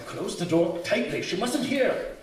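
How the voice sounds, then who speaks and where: A man's voice: high-pitched, lively intonation, unhurried, a little hiss or hum. A man playing an urgent, commanding professor in theatrical old-time radio melodrama. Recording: roomy live hall, distant mic.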